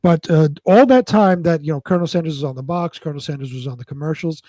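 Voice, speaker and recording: A man with a fairly high voice narrates as co-host of a live show. His delivery is unpolished and somewhat halting, and the sound is very dry with no room to it. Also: dark tone, dry recording. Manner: halting, repeats words when ad-libbing. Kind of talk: amateur scripted narration and casual chat.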